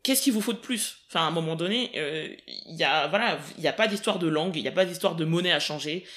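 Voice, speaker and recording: Young woman from paris, casual chat, emphatic, laughs often, quick delivery. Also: sits low, fairly bright tone. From Paris region, France.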